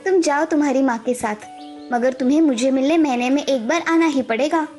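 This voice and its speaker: A woman's voice: high voice, a little fast, a little mellow, faint music behind, natural voice. A woman voicing an affectionate young girl in a children's cartoon story. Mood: fond. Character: affectionate girl Mala, fond of her magic pigeon.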